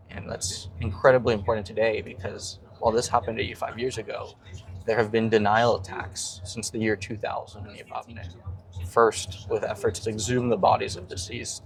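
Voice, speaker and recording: Low gravelly man, measured and solemn. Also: low-quality recording. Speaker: descendant of a massacre witness. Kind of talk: reflective testimony to camera.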